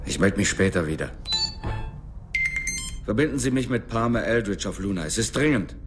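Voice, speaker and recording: An actor playing a terse, pressing executive in a German radio drama, brusque in manner. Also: male voice, lowish voice, expressive, normal speed, noisy background, close to an everyday voice. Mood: urgent, terse. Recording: music behind.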